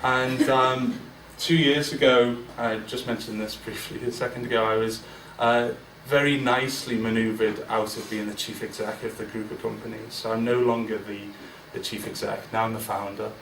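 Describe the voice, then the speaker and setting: A man's voice: mid-range voice, flat delivery, normal speed, hardly any pauses, a bit bright, slight background noise. Roomy, distant man giving a candid speech. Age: 40s. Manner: candid and self-deprecating, with many fillers and restarts. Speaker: guest speaker. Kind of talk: informal business speech. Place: large event room with an audience. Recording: roomy, distant mic with faint ambience.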